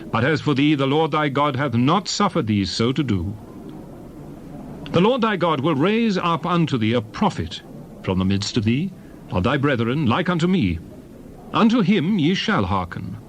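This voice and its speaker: A man playing a solemn lawgiver prophet, proclaiming commandments in a declamatory, deliberate dramatized scripture reading. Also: medium pitch, a little hiss or hum, close to an everyday voice. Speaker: narrator of dramatized Bible.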